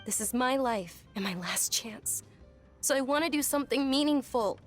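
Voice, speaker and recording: A woman's voice: high-pitched, expressive, moderate pace, soft background music. An actress playing a polite but headstrong young noble lady in a game, speaking earnestly. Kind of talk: game voice acting.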